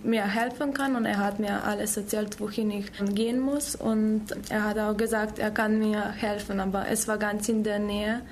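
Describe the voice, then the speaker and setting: Slow-speaking young woman from Slovenia. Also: fairly high pitch, slight background noise. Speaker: language student. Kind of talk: casual interview answers.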